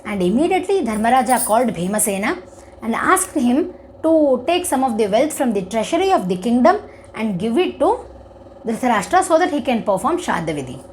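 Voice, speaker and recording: Expressive high-voiced Indian woman storyteller. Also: a little fast, a little hiss or hum, rough recording. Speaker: storyteller giving religious discourse. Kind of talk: devotional moral storytelling.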